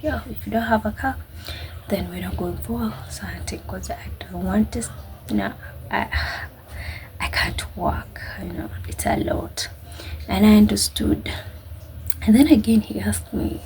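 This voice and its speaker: Kenyan woman storyteller with a creaky voice. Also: medium pitch, warm tone. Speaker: vlogger. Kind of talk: casual personal storytelling to camera.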